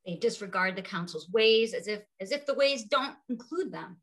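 A woman playing a measured animal council elder in a dramatic play reading. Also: mid-range voice, lively intonation, a bit bright, close to an everyday voice. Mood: stern.

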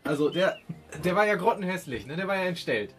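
A German man with a fairly high voice that moves a lot in pitch. He banters casually in character at a tabletop role-playing game. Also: expressive, fairly quick, a bit bright, soft background music.

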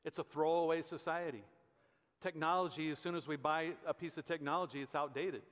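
A man in his fifties with an American accent and a high-pitched voice preaches in a conversational, emphatic way, repeating phrases for weight. He is a pastor on stage, and the long reverb of a large church hall surrounds his words. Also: steady tone, fairly quick, fairly bright tone. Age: 50s. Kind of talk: conversational sermon.

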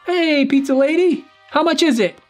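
A man's voice: very high voice, moderate pace, soft background music. A man voicing a friendly, easygoing, generous young guy in a game roleplay. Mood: cheerful. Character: friendly, generous, easygoing good twin brother. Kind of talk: Roblox roleplay acting.

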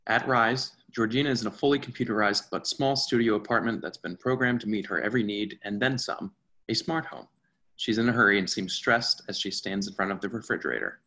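A man's voice: lowish voice, fairly quick, pushed and bright. A man reading stage directions as scripted narration for a play reading on a video call. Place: indoors at home, on a video call.

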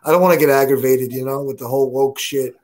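A man's voice: mid-range voice, steady tone, fast, darkish tone. A man in his thirties hosting a casual live stream from home, laid-back and teasing. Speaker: host.

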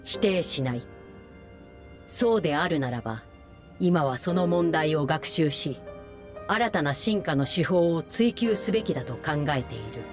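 A woman voicing a calm, formal artificial research being in a video game cutscene, speaking in a measured, detached way. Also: deep voice. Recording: game audio with music underneath. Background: game soundtrack music.

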